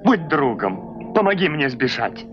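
An actor playing a pleading, agitated painter in a dubbed cartoon. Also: male voice, a little high, very animated, unhurried. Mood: panicked, pleading. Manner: agitated, protesting. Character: panicky, pleading human painter held captive. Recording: muffled old film soundtrack with music.

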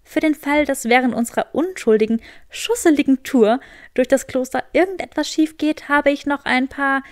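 A woman's voice: sits high, moderate pace, darkish tone, no room sound, studio quality, lightly acted. A woman voicing a clever, earnest and determined young witch, explaining eagerly in an audiobook. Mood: eager, explanatory.

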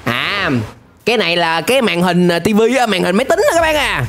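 Animated, high-pitched young southern Vietnamese man. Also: a little fast. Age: young adult. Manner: playful, exclamatory, chatty. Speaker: reaction video host. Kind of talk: animated, casual reaction commentary. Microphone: close desk microphone with headset.

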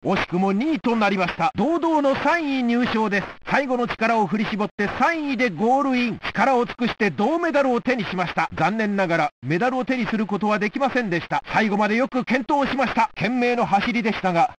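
A man playing an excitable old-time stadium announcer, giving formal, emphatic play-by-play for a sports video game. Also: high voice, fairly quick, few pauses, slight background noise. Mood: consoling, earnest. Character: excitable male 1960s Olympic stadium announcer.

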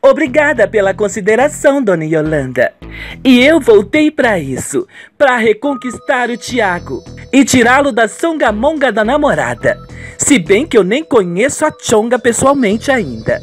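A woman playing a headstrong, scheming young daughter in an amateur Brazilian radio soap, with emphatic, drawn-out phrasing. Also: a little high, big pitch swings, soft background music.

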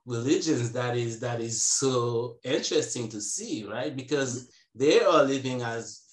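Slow, reflective man on a video call. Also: medium pitch, roomy sound. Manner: slow and reflective, hesitant fillers. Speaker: panelist. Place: home room. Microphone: earbuds on video call.

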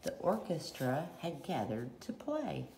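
A woman reading a children's picture book aloud in short, deliberate rhyming phrases, recorded at a table at home. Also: sits low, very animated, creaky. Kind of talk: read-aloud storytelling. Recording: roomy echo, faint background noise.

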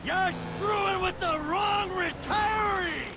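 An actor playing a gruff, profane, sarcastic middle-aged American retired criminal and father in a video game cutscene. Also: very high voice, slow pace. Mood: furious, shouting. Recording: noisy vehicle ambience behind voice.

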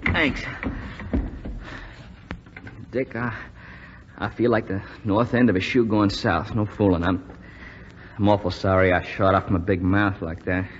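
An actor playing an eager, headstrong young would-be flyer in a 1940s radio drama, earnest and stammering. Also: male voice, lowish voice, fairly even intonation, measured pace, long pauses, close to an everyday voice. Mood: ashamed. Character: eager, headstrong young washed-out Air Forces flyer from Texas. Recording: muffled, noisy, reverberant 1940s radio recording.

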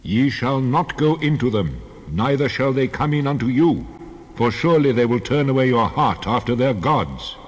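An actor playing the God of Israel in a dramatized Bible reading, solemn, deliberate and commanding. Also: male voice, animated, close to an everyday voice. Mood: stern warning. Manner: solemn, deliberate, authoritative pronouncement. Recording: booming long hall reverb.

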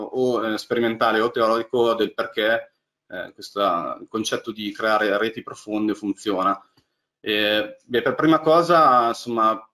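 A man's voice: lowish voice, fairly bright tone. A man, giving a technical lecture over a webcam and talking through slides with many fillers and restarts. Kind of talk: technical lecture on deep learning. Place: small indoor room. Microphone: webcam on a video call.

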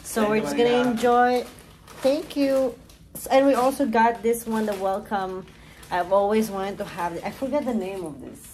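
A young woman chatting to her phone camera in a hotel room, gushing and tired, her voice trailing off softly at times. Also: a little high, fairly even intonation, moderate pace, crowd noise. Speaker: vlogger. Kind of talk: casual travel vlog. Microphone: phone at arm's length. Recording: noisy phone recording.

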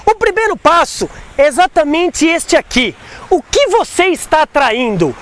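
A man's voice: very high voice, fairly bright tone. A Brazilian man in his forties, a sales speaker hosting a motivational segment, talking emphatically to camera with rhetorical questions, recorded outdoors on a lapel mic. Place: outdoors on an overpass above a busy road.

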